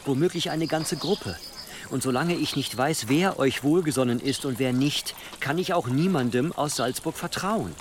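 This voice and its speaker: A man's voice: mid-range voice, normal speed, slight background noise, lightly acted. An actor playing a loyal medieval envoy reporting formally and insistently to his lord in a radio drama. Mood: urgent, insistent.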